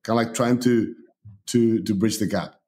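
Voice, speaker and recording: A man from Spain, a company founder, talking as a guest in a relaxed way with many fillers. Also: lowish voice, moderate pace. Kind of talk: casual interview talk.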